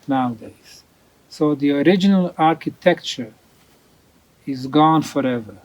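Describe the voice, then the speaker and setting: A man giving an unscripted explanatory talk as a researcher, deliberate and emphatic, repeating key points. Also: on the high side, low-quality recording. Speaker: researcher presenting ancient megalithic sites.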